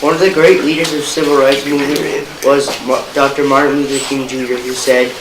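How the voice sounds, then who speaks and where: A man's voice: medium pitch, little pitch movement, brisk. A young man reading scripted narration aloud as an amateur student performer. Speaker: student narrator in a reader's theater. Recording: echoey room with clear noise.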